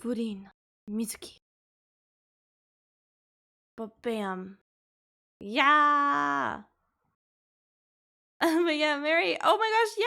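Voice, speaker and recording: A woman's voice: high-pitched, gravelly, sharp tone. A bubbly, gushing woman livestreamer chatting casually and reacting to a game.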